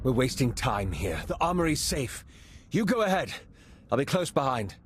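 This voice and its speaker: A man's voice: mid-range voice, big pitch swings, slight background noise, lightly acted. Man playing an earnest, plain-spoken field agent. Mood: businesslike. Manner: plain-spoken, briskly informative. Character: earnest, humble male field agent, practical and deferential. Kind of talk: video game cutscene acting.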